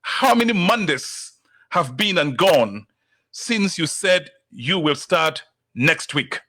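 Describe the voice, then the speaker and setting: A male host delivers a motivational opening at an unhurried, deliberate pace. His voice sits a little high and has a bright edge. He leans on key words for emphasis. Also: lively intonation. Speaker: talk show host. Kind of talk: motivational monologue and interview.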